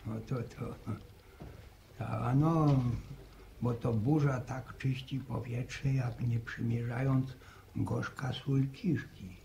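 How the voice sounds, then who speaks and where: An actor playing a gentle, folksy old farm grandfather who stammers and hesitates, in a vintage radio drama. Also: mid-range voice, steady tone, slow pace, vocal fry, a little hiss or hum, rough recording. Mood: mild. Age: older. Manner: hesitant, stammering, saying-quoting. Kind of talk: 1950s radio drama acting.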